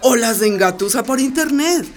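An actress playing an assertive, sharp-witted school principal, firm, sardonic and articulate in a radio drama. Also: female voice, fairly high pitch, animated, moderate pace, soft background music, lightly acted.

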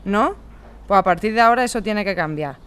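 A woman playing a calm, practical veteran housing activist in a radio drama, explaining things in an organised way. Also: mid-range voice, a little fast, a little hiss or hum, natural voice. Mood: calm, helpful. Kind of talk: amateur radio drama acting.

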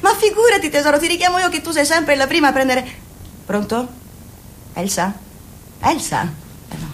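A woman's voice: high voice, animated, normal speed, slight background noise. An actress playing a fussy, chatty middle-aged mother who nags her grown son and sells lipsticks by phone. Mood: sugary, flustered. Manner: nagging, pushy, overly sweet. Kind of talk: radio play acting.

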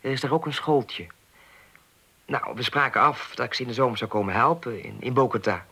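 A man's voice: medium pitch, brisk, pushed and bright, breathy edge. A man playing an awkward, earnest young idealist in a Dutch radio play, speaking hesitantly with stammers. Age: 20s. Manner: hesitant, stammering, agitated when recounting.

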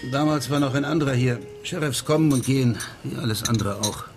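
An actor playing an unruffled, worldly middle-aged former policeman, talking calmly and wryly in a radio crime drama. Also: mid-range voice, fairly quick, music in the background, close to an everyday voice. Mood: calmly explaining. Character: unruffled former Amsterdam policeman living abroad, worldly and wry.

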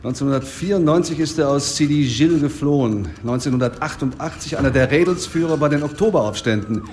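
An actor playing a police colleague reporting in a brisk, factual way in a radio drama. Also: male voice, a little high, darkish tone, a little hiss or hum, close to an everyday voice. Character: matter-of-fact police colleague reporting to his inspector. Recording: faint background ambience.

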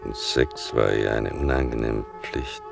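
Very deep, gravelly-voiced son narrating. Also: male voice, steady tone, exaggerated voice. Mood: grave, intimate. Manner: slow, deliberate, intimate. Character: grown son intimately narrating his mother's past. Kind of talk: literary radio play narration. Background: music.

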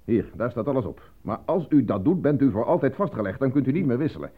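Thin old radio recording, condescending bureaucratic agent, formal, evasive, mid-pitched, radio play. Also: male voice, expressive, quick pace, husky, narrow, tinny audio. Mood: dismissive. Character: evasive, patronizing male casting agent stonewalling an unemployed actor. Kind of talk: radio drama acting.

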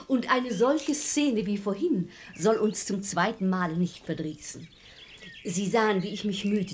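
A woman's voice: fairly low pitch, darkish tone, a little hiss or hum, close to an everyday voice. A woman playing a shrewd, composed lady of the house in a verse comedy radio play, articulate and persuasive. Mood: coyly seductive.

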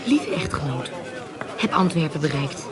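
A woman's voice: deep voice, expressive, unhurried, over a noisy crowd. An actress playing a sly, flirtatious woman who schemes to trap a swindler in a Dutch radio play. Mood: coaxing.

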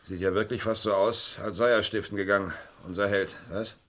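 A wry senior police detective in a radio crime play. His voice sits low. He talks in a dry, musing, laconic way. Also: steady tone, brisk, a bit bright.

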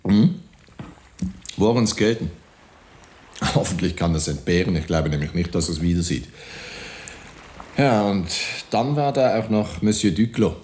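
An actor playing a polite, confiding, wryly amused hotel manager in a German radio drama, with a deliberate delivery. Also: male voice, sits low, lively intonation, darkish tone. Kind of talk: German radio play dialogue.

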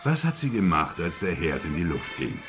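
An actor playing a cynical, streetwise hustler who needles and schemes in slangy talk, in a German radio crime drama. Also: male voice, deep voice, big pitch swings, moderate pace, a bit bright, faint music behind, full character voice. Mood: probing.

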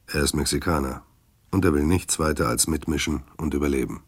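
An actor as a wry, hard-boiled storyteller, giving measured literary narration in a radio drama. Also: male voice, rumbling low, husky, dry recording, heavily acted. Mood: wry, terse.

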